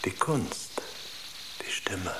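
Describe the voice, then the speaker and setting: An actor playing a dreamy poet enraptured by an inner voice in a radio drama, speaking in a hushed, reverent tone often near a whisper. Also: male voice, low-pitched, sing-song, drawn-out words, full character voice. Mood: hushed rapture.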